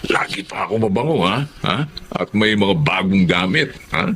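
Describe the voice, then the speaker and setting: A man's voice: low voice, lively intonation, normal speed, fairly bright tone, slight background noise. A man playing a cocky, boastful young buddy in a Filipino radio drama, chummy and repeating himself.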